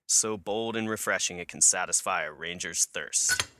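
A man announcing a scripted radio commercial in a folksy, proud pitchman style. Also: moderate pace, very noisy. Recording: voice over ad music.

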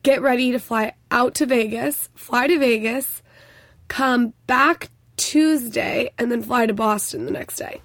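Hoarse woman co-host, higher voice. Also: measured pace, a bit bright, dry recording. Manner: chatty, playful. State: hoarse, voice nearly gone. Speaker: podcast co-host. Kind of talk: casual banter with her husband.

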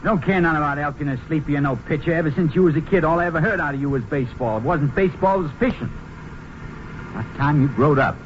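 A man's voice: a little high, fast, close to an everyday voice. An actor playing an irritable, bossy small-time car thief, a man with scolding, slangy rural speech in a radio drama. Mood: irritated, bossy. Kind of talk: 1950s western radio drama acting. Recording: muffled, noisy 1950s radio recording.

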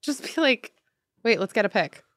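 A woman co-host chiming in with quick advice in casual chat. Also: a little high, lively intonation, a bit bright, no room sound, studio quality.

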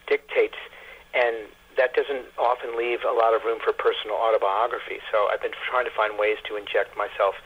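A middle-aged or older man, a novelist being interviewed, speaking reflectively and haltingly, repeating words as he searches for phrasing. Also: fairly low pitch, level tone, a little hiss or hum. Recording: muffled, husky-sounding telephone-like radio line.

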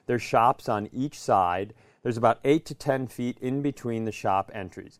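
American man, middle-aged, casual comic storyteller, emphatic and repetitive, crisp voice, dry close recording. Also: medium pitch, a little slow, dry recording. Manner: emphatic, repeats phrases, acts out quotes. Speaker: podcast co-host. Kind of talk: animated comedic storytelling in casual chat.

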